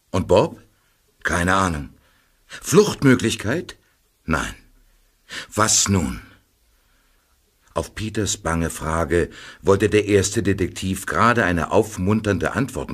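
A man narrating a studio audio drama in a measured storytelling manner. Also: low-pitched, animated, slightly rough, heavily acted. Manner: measured storytelling, acts out short quoted messages.

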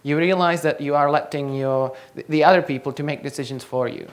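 A man's voice: fairly high pitch, a little fast. Young Czech man giving a tech talk. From Czech Republic. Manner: quizzing the audience. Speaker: conference speaker. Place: large lecture hall, on stage.

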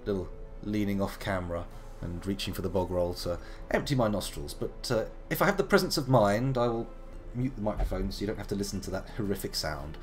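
A man in his thirties, gravelly from a slight cold, chatting playfully through a live game stream. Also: on the low side, lively intonation, brisk, faint music behind. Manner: chatty, playful, wandering asides. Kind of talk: casual live game commentary.

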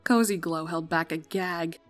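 A woman's voice: lowish voice, a little slow. Woman narrating a story over music.

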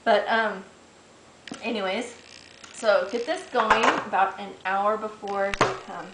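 A woman's voice: medium pitch, steady tone, slow. A middle-aged woman hosting a casual home cooking demonstration. She is chatty and homey and thinks aloud with fillers while working the dough. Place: large home kitchen. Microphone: camera mic, a few steps away. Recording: echoey kitchen with clear background noise.

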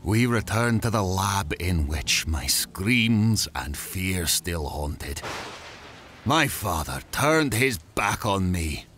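A man playing an obsessed, remorseful mad scientist father in an audio drama, declaiming grandly and swinging from hushed to fervent. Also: medium pitch, exaggerated voice. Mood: haunted remorse. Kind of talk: theatrical audio drama monologue.

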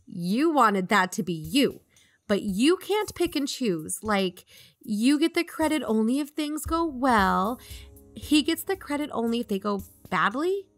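A woman's voice: a little high, sing-song, unhurried, dry recording, soft background music. A woman playing an assured podcast investigator in a conversational audio drama, reasoning and probing persuasively. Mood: firm, challenging. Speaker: fictional podcast co-host.

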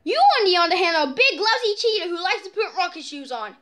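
Someone doing a cocky, high-voiced young hedgehog. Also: big pitch swings, quick pace, sharp tone, exaggerated voice. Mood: indignant. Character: cocky, mouthy young male hedgehog runner. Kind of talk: amateur comic dub.

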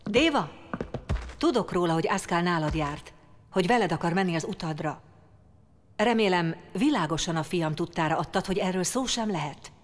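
A woman's voice: on the low side, expressive, slight background noise, lightly acted. Woman as a stern councilwoman in a reverberant hall. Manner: measured, formal, admonishing. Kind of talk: audio drama acting. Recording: long reverb of a large hall.

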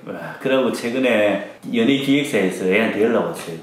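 A man's voice: lowish voice, expressive, a little fast, very echoey, a little hiss or hum, poor audio. A middle-aged man with a Gyeongsang accent, a teacher hosting a casual Q&A and teasing while reading viewer questions. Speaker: academy teacher and channel host. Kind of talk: casual Q&A chat. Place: small classroom indoors.

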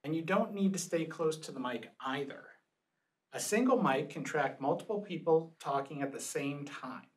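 A man's voice: on the high side, moderate pace. A middle-aged man explaining a product in a scripted presentation in a small meeting room. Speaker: product marketing manager presenting a demonstration.

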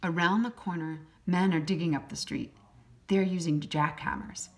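A woman reading a children's picture book aloud as a teacher, in simple, deliberate short phrases. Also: lowish voice, steady tone, darkish tone. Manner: acts out sound effects. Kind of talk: children's storybook read-aloud.

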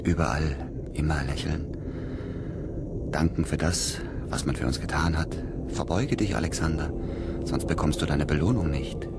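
A man playing a lonely, grieving astronaut in a German radio play, speaking in a halting, intimate way. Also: rumbling low, very noisy. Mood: weary, tender. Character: lonely grieving male astronaut, weary and desperate. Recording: music under the voice.

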